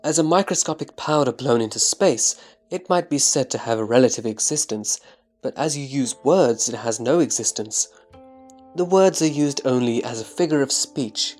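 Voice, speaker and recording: Thoughtful male disciple, played by a man, reverent, deferential, careful, medium pitch, audio drama recitation, music underneath. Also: normal speed, darkish tone, lightly acted. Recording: music behind the voice.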